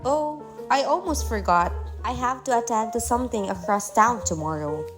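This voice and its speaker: Polite, reassuring young lady, acted by a woman, radio drama, fairly high voice, faint music. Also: lively intonation, normal speed, natural voice. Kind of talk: student radio drama acting.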